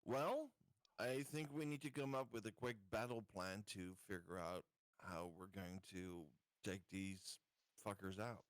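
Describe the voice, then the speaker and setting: A man's voice: fairly low pitch, a little slow, no echo. Man playing a tabletop game, dry sound. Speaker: player in a tabletop roleplaying game. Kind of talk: casual game chat.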